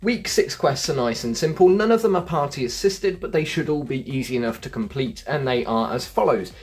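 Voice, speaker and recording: Husky-voiced man explaining gameplay. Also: medium pitch, expressive, brisk, darkish tone. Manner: chatty, addresses viewers as folks and guys. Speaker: gaming channel host. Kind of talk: gameplay walkthrough commentary.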